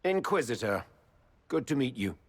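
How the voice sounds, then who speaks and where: An actor playing a stern, formal nobleman envoy in a fantasy game, measured and official in manner. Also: medium pitch, very animated, breathy edge, no echo. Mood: courteous.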